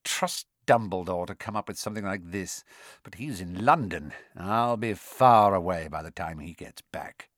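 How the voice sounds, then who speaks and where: Man voicing a cold, smug villain, swooping pitch. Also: sing-song, normal speed, pushed and bright, no room sound, studio quality. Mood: brisk, intent. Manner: cool, casual, mocking menace. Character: cold, smug adult male villain, sneering and sinister. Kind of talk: audiobook character acting.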